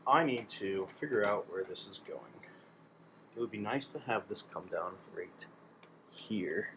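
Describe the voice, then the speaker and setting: Male DIY hobbyist, low-pitched, casual and musing, talking half to himself; clearly noisy recording. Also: expressive, unhurried, a bit bright. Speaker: DIY electronics hobbyist narrating his work. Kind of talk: casual thinking-aloud workshop chat.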